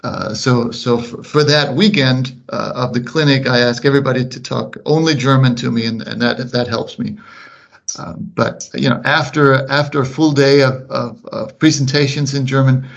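A middle-aged man whose first language is Danish speaks English with a slight Kansas drawl, talking slowly and deliberately with many restarts in a casual interview. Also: mid-range voice, steady tone, gravelly. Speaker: guest, officiating coordinator. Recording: slightly muffled podcast audio.

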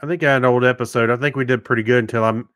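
A middle-aged man co-hosting a tech podcast, telling stories in a halting, rambling way. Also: mid-range voice, steady tone, a bit bright, no room sound, clean studio sound. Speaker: podcast co-host. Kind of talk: casual chat.

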